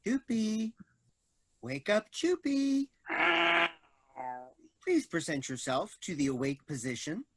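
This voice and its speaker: Casting: actress as snippy, literal robot helper, medium-pitched, mechanical and stilted, close dry recording. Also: female voice, very animated, slow pace, long pauses, darkish tone, dry recording. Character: officious, literal-minded robot assistant, snippy. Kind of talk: staged play reading.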